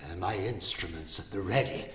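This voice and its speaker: A man playing an urbane, sinister mad doctor with courteous, measured menace in a live horror radio play. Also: low voice, fairly bright tone. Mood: cold menace. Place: live in an echoing anatomy theatre. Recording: echoing hall with background noise.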